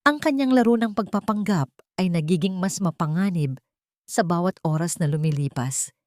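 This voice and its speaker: A woman's voice: lowish voice, moderate pace, darkish tone, no room sound, studio quality. A woman reading a scripted dramatic story as narrator.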